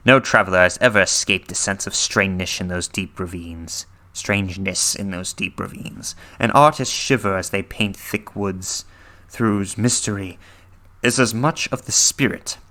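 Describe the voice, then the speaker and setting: Low-pitched man reading dramatically. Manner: theatrical, halting, hushed at phrase ends. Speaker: narrator reading a horror story aloud. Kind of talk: dramatic literary read-through.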